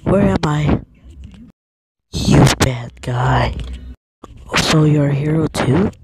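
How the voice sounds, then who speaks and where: A woman acting out amateur cartoon lines as an excitable young superhero. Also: deep voice, slow, very noisy. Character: excitable young superhero shouting battle calls.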